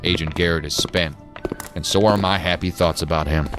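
An actor playing a bitter, sardonic federal agent in an audio drama, gruff and sarcastic. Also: male voice, low voice, fairly even intonation, moderate pace, close to an everyday voice. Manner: gruff, sarcastic, clipped orders. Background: music.

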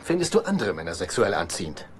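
An actor playing a chatty, gentle, frank middle-aged dad in a dubbed sitcom. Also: male voice, low voice, wildly swooping pitch, quick pace. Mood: frank, soothing. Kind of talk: German sitcom dubbing. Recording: noisy ambience behind dialogue.

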